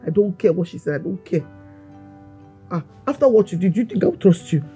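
A woman's voice: fairly low pitch, expressive, brisk, dark tone, faint music behind, lightly acted. A young woman with a Nigerian accent playing a pregnant wife who scolds and cries with repeated questions. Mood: sullen. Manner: tearful, scolding, repetitive questions. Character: pregnant wife, tearful and accusing. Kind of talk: Nollywood-style skit acting.

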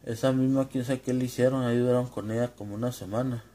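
A man with a Mexican Spanish accent giving a casual, unscripted demonstration, informal and halting as he searches for words and drops in English. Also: medium pitch, steady tone, warm tone. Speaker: home vlogger demonstrating gear.

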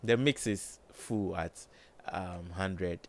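A man with a low voice, slowly thinking aloud with many fillers while demonstrating music plugins in a home studio. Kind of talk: casual screen-recorded tutorial. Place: small home studio with colored lights.

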